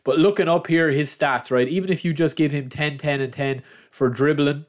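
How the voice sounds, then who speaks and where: A man's voice: on the high side, fairly even intonation, normal speed. A young man with a head cold that makes him a bit nasal, chatting through a screen-recorded football game guide and calling his viewers lads. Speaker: gaming channel host. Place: at a computer, voice-over of screen recording.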